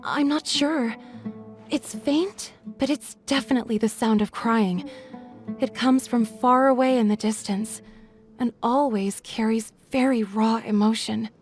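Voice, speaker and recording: A woman playing a guarded young village woman in a video game, speaking in a hesitant, measured, confiding way. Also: on the high side, darkish tone, soft background music, lightly acted. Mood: confiding, thoughtful. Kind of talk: dramatic video game dialogue.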